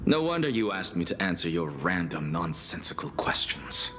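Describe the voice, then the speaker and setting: A man's voice: low voice, very animated, moderate pace. A man playing a proud, defiant young scholar in a video game, speaking in a formal, theatrical way. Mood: smug, challenging. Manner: theatrical, formal, pointed questioning. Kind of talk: dramatic game voice acting. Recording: echoey long reverb, music underneath.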